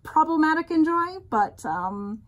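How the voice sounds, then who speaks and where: A middle-aged woman co-hosts a relaxed podcast chat. Her voice sits a bit higher than most. She rambles with lots of fillers and lets her sentences trail off. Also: a little slow. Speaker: podcast co-host. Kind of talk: casual chat.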